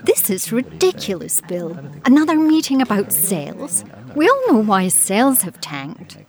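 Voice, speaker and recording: An actress playing a nervous, cowed sales executive in a campy audio drama, fretful and hesitant. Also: female voice, mid-range voice, wildly swooping pitch, unhurried, creaky, a little mellow, heavily acted.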